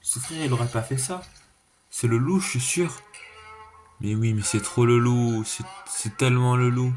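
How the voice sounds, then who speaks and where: A man's voice: mid-range voice, moderate pace, darkish tone, soft background music. A man giving a casual, slangy reaction commentary, thinking aloud and repeating himself. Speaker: reaction video creator. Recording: clearly noisy background.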